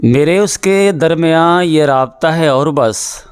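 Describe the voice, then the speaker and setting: A man's voice: slow pace, clean tone. Young man reciting poetry, voice rising. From Pakistan. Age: young adult. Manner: repeats each couplet, rising in pitch on the key lines. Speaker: poet reciting verses.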